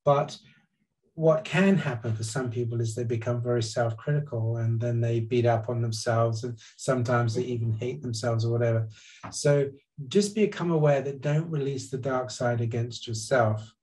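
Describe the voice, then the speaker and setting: A man's voice: mid-range voice, fairly even intonation, moderate pace, darkish tone, poor audio. An older man, a guest psychologist, explaining earnestly over a webcam call from his living room, often restarting his sentences. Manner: earnest, gesturing with his hands, frequent restarts. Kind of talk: explanatory conversation on a video call. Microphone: webcam on a video call.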